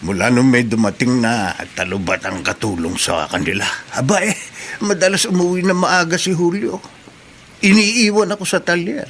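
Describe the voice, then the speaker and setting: A man's voice: a little high, expressive, moderate pace, fairly bright tone, a little hiss or hum. An actor with a Filipino accent playing a concerned, suspicious workman who speaks earnestly in a radio drama. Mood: worried, confiding. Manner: earnest, confiding. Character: concerned, suspicious male auto-shop worker, adult.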